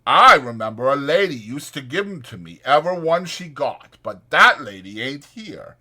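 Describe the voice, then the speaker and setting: A man voicing a big, simple-minded, meek farmhand with a slow, childish, pleading rural way of talking in an audiobook. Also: medium pitch, sing-song, bright, forceful tone, voice pushed up, heavily acted. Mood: sad, apologetic.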